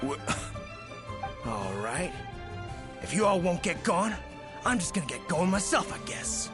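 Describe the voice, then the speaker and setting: A man's voice: high-pitched, very animated, unhurried, music in the background. A man playing a shifty, surly bandit in a video game, blustering and defensive. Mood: sullen retreat. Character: shifty, surly bandit thug who backs down.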